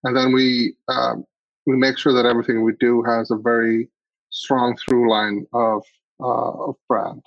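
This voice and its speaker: A man's voice: medium pitch, little pitch movement, measured pace, gravelly, dry recording, lo-fi sound. A man from Iceland answering interview questions as a guest, picking his words hesitantly and thoughtfully. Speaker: podcast guest, agency CEO.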